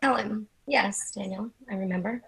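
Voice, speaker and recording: A woman's voice: medium pitch, normal speed, creaky, fairly bright tone, husky, exaggerated voice, poor audio. A woman in a script reading, playing an elegant, cold and defiant woman in her mid-forties. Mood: cool, curt. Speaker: actor in a screenwriters' readers theatre.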